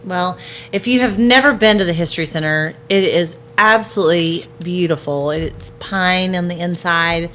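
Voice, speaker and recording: A woman's voice: fairly low pitch, moderate pace, fairly bright tone, slight background noise. A woman hosting a chamber of commerce podcast, upbeat and chatty with frequent filler words. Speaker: host, chamber of commerce president.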